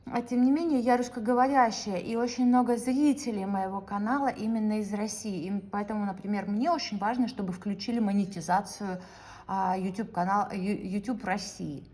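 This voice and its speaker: A woman's voice: medium pitch, fairly even intonation, moderate pace, a little mellow. A woman hosting her own channel who leads viewers through a goal-setting practice, speaking to them directly with frequent hesitant fillers. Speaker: YouTube channel host.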